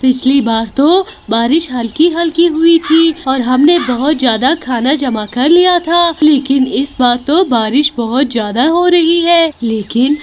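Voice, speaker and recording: Lady doing a hardworking boy bird in a kids cartoon, plain earnest talk, high voice, faint noise behind. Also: a little slow, hardly any pauses. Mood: agreeing, concerned. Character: hard-working male forest birds, dutiful and fretful. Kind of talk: children's cartoon story voice.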